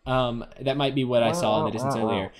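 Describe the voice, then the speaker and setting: A man giving casual, rambling playthrough commentary as a gaming host, jokey and excitable. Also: medium pitch, fast, a loud crowd.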